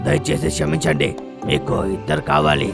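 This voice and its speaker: A man voicing a bumbling, boastful older-brother bear in a dubbed children's cartoon, with a gravelly, excitable comic delivery. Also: fairly high pitch, a little fast. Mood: apologetic. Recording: loud music under the voice.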